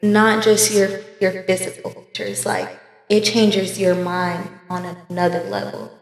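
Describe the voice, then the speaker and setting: Slow, slangy woman in an echoey room. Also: mid-range voice. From Oakland, California. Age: 20s. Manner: frank, slangy, laughs often. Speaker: podcast co-host. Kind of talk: casual chat. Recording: echoey room with long reverb.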